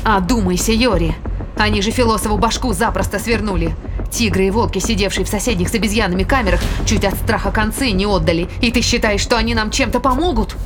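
An actress plays a cautious woman in a dramatic audio play, with strong music behind her. She is of middling pitch and protests in a reproachful, sarcastic way. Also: quick pace, natural voice. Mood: sceptical. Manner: reproachful, sardonic.

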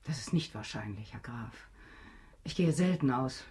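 Radio drama role: elegant, guarded madame, very husky and breathy, hesitant, secretly eager. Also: female voice, a bass voice, animated, unhurried, darkish tone, full character voice. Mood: reserved. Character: refined, guarded society lady with veiled eagerness. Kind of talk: German radio drama acting.

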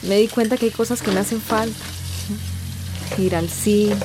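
A woman's voice: medium pitch, steady tone, moderate pace, noisy background, lightly acted. A young woman acting in a radio drama as an earnest, affectionate daughter with a wistful, easily hurt temper.

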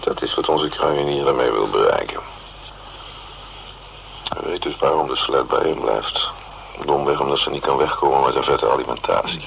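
A man's voice: a bass voice, moderate pace, vocal fry, pauses often, a bit bright, full character voice. An actor with a Netherlands Dutch accent playing a wry, cynical working man in a radio drama, musing ruefully in a sardonic, rambling way. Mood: wry, speculating. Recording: dull, hissy old radio recording.

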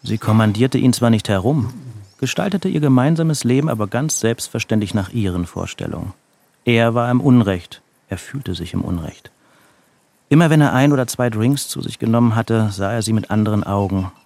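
Narrator, male: scripted literary storytelling, creaky low voice. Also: normal speed, a little mellow.

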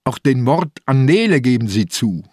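An old police inspector in a radio crime play. He is exhausted yet dogged, with a slow, insistent delivery. The sound is dry and close, with no room on it. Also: mid-range voice, sing-song, a little mellow, breathy edge, dry recording. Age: older. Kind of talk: German radio crime drama acting.

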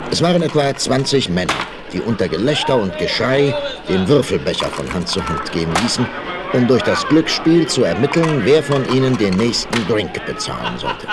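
A man's voice: on the low side, big pitch swings, moderate pace, a loud crowd. A man narrating a 1960s radio play as a dramatic, theatrical, suspenseful storyteller. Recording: vinyl record transfer with faint noise.

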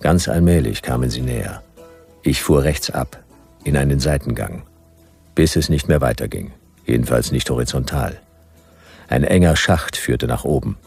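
Hardboiled detective narration for radio drama, super low and gravelly, dry short sentences. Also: male voice, moderate pace, a little mellow, soft background music, exaggerated voice. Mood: dry, wry. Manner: laconic, dry, clipped sentences. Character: laconic hard-boiled male private detective, wryly narrating. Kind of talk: noir radio play first-person narration.

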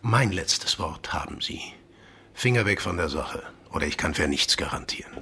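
A man playing the menacing chief of a secretive agency in a radio play, with a husky, breathy voice and a measured, politely condescending manner. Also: a bass voice, lively intonation, sharp tone, heavily acted.

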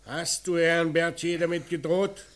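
An actor playing a gruff, commanding police inspector in a radio crime drama, curt and sardonic while needling a suspect. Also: male voice, on the high side, level tone, measured pace, noisy background, lightly acted. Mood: stern, forceful. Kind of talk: German radio play acting.

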